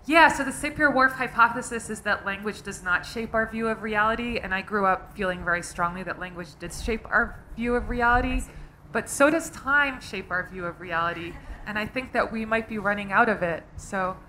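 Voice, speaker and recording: Middle-aged woman author, bright tone, faint room noise, reflective and chatty. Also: mid-range voice, moderate pace, poor audio. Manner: thoughtful, uses many fillers like "like". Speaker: author being interviewed. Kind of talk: live author talk.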